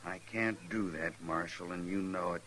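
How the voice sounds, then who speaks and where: An actor playing a proud, stubborn frontier cowhand with an American accent and folksy, defiant talk in a radio western. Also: male voice, low voice, fairly even intonation, normal speed, a bit bright. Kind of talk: 1950s radio western acting. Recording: noisy old-time radio drama recording.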